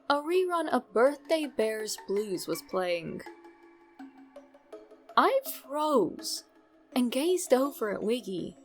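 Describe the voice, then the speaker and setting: High-voiced woman narrating a creepy story. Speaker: narrator reading a horror story.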